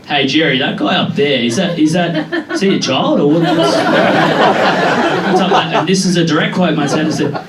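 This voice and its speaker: A young Australian man performing a chatty, self-deprecating stand-up set with quick asides to a live pub crowd. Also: fairly high pitch, sing-song, distant mic. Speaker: stand-up comedian. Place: pub comedy room with a live audience. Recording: echoey room taped from afar, loud crowd laughter.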